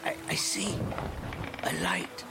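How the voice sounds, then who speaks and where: A man's voice: heavy background noise, close to an everyday voice. An actor playing a weak, loyal young sailor who is dying, faltering as he speaks in an audio drama. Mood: weak, dazed. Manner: faltering, slow.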